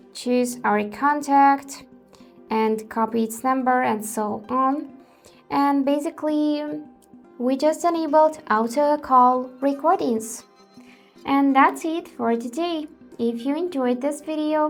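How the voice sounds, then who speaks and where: Slow-paced, higher-pitched woman giving tutorial. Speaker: tech tutorial presenter. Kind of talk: step-by-step tutorial narration.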